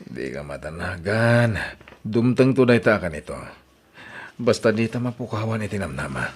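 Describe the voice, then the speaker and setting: A man's voice: lowish voice, big pitch swings, moderate pace, breathy edge, heavily acted. A man acting in an Ilocano audio drama as a brooding, lovelorn, hot-tempered man, with an Ilocano accent. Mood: tender.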